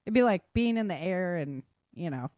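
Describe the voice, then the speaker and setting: A woman's voice: on the low side, lively intonation, moderate pace, a little mellow, no echo. A woman with an American accent hosting a casual podcast discussion, explaining her points and often checking in with "right?" and "you know". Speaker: podcast host and author.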